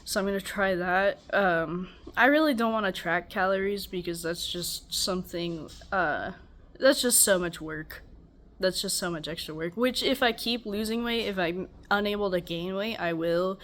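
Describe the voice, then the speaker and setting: A woman's voice: lowish voice, normal speed, fairly bright tone. A young woman with a stuffy, congested voice, rambling wearily through a casual check-in at home. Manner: rambling, weary, self-deprecating. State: tired. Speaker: vlogger. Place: home, beside a loud air conditioner.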